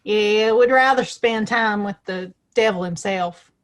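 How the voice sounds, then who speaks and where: A woman's voice: medium pitch, measured pace, lightly acted. A woman playing a feisty, outspoken small-town woman in a western audio play, with a folksy, plain-spoken manner. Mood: indignant.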